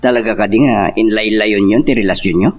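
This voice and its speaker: A man with an Ilocano accent and a husky voice acting a nosy, teasing friend in an audio drama. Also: fairly low pitch, fairly quick, natural voice.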